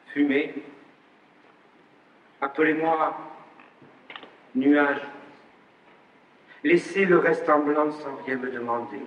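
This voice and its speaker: A man reciting a poem in a slow, theatrical, declaiming way, from an archive recording. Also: roomy sound, long reverb tail, far from the mic, dull audio, slight background noise. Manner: slow, declaiming, rising in pitch on key lines.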